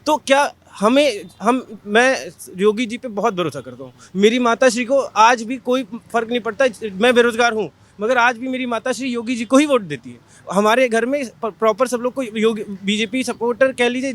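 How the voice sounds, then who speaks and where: A man's voice: very high-pitched, a bit bright. A man in his twenties, a job candidate at a protest, speaking earnestly and emphatically with repetition in an interview. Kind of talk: impassioned street interview answer.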